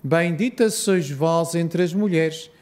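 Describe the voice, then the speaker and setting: Slow, higher-pitched Portuguese man leading prayer. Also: darkish tone. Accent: European Portuguese. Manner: measured, reverent. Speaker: rosary prayer leader. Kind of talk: solemn recited prayer.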